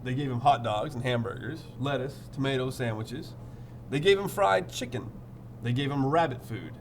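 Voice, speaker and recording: A man's voice: mid-range voice, moderate pace, a little hiss or hum. A man reading a picture book aloud, adding playful, informal asides as he goes. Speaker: read-aloud storyteller.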